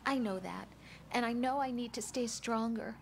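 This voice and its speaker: An actress playing a caring young woman who speaks gently and reassuringly. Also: fairly high pitch, moderate pace, vocal fry, slight background noise. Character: caring young woman supporting over radio.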